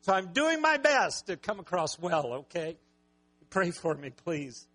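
A man preaching a sermon with a raspy voice, talking conversationally and joking with the congregation. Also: high-pitched, very animated, a little slow, pushed and bright, faint music behind. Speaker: pastor.

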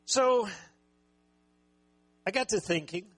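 A man preaching a sermon with a raspy voice, talking conversationally and joking with the congregation. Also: high voice, expressive, takes it slowly, fairly bright tone. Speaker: pastor.

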